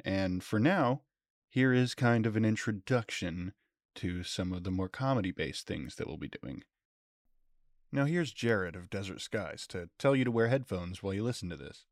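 A man with a fairly low voice introduces a podcast in a conversational way. The recording is dry, with no room sound. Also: animated, normal speed, no room sound. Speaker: show host.

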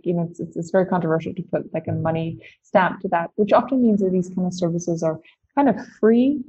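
A woman's voice: mid-range voice, dark tone, lo-fi sound. A woman from the Netherlands, a company founder answering interview questions, thinking aloud with many "like"s and restarts. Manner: lots of "like" and restarts, thoughtful.

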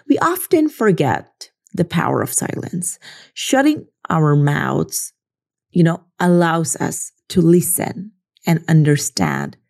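A woman's voice: low voice, creaky, darkish tone. A woman from the Philippines, talking earnestly in a casual reflective monologue and often checking in with "right?". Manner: earnest, leans on "you know" and "right?". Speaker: social media creator.

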